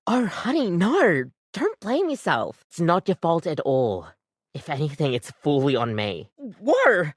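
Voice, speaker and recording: A woman voicing a living coffee maker in a video game, an earnest, bubbly, chatty barista with an expressive, rambling way of talking. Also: lowish voice, a little slow, husky, dry recording, studio quality, full character voice. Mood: earnest, dismayed, then hesitant, hopeful. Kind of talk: scripted video game voice acting.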